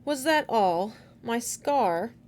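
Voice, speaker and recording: A woman's voice: fairly high pitch, lively intonation, slow, darkish tone. A woman voicing a mocking young male vampire in a storybook, taunting and precise. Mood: amused, mocking.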